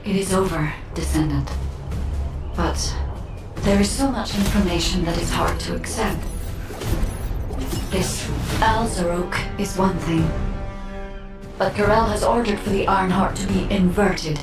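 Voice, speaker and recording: A woman's voice: mid-range voice, a little slow, pauses often, natural voice. A woman playing an earnest, polite artificial guide in a video game, speaking formally and precisely. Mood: puzzled. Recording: echoey, distant, music behind.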